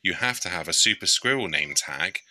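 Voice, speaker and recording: A man's voice: sits low, lively intonation, normal speed, sharp tone, no room sound, full character voice. A man voicing a bossy, eager little girl squirrel leader in a storybook, with exclamatory commands.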